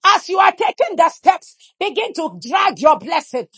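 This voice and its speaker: A woman leading fervent shouted prayer, chanting repeated declarations in a rhythmic cadence and breaking into speaking in tongues. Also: extremely high pitch, big pitch swings, normal speed, no echo. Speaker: prayer leader.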